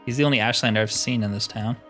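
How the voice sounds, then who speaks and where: A man's voice: mid-range voice, fairly even intonation, fast, pushed and bright. Casual man doing game commentary over music. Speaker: gamer playing through a role-playing game. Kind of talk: casual game commentary, reading quest dialogue aloud.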